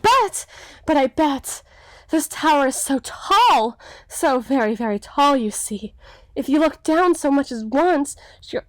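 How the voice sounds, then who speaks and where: A woman's voice: high voice, no room sound, low-quality recording. A woman playing a young, melancholy, self-doubting storybook damsel in an ASMR fantasy roleplay, with theatrical, hesitant, old-fashioned formal phrasing.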